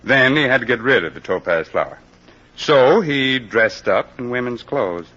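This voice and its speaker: An actor playing a brisk, wry American police captain in 1940s radio drama style, clipped and commanding, on a muffled old recording. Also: male voice, mid-range voice, expressive, pushed and bright. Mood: matter-of-fact.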